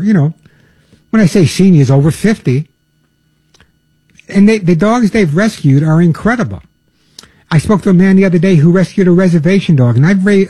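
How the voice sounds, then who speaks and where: Older male radio host, mellow dark tone, slightly husky, dry close sound, chatty. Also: fairly high pitch, no echo, rough recording. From New York. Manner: chatty, repeats words, earnest pleading. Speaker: radio pet show host. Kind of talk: casual talk radio monologue.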